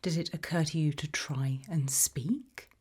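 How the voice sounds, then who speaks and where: A British woman speaking in a breathy whisper, calmly asking measured questions in an ASMR roleplay interview. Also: low voice. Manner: calm, measured, careful questioning. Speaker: ASMR roleplayer playing an abduction investigator.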